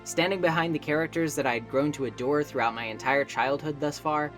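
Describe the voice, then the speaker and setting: Woman doing video essay voiceover, low voice, emphatic n chatty, faint music behind. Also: brisk. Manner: emphatic, conversational argument. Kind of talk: scripted video essay narration.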